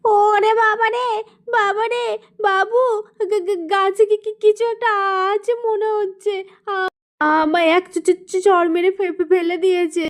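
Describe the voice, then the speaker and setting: A woman voicing a servile, excitable animal servant in a cartoon, deferential and easily flustered. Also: very high-pitched, unhurried. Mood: panicked. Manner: deferential, flustered, stammering when scared. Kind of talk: cartoon story voice acting.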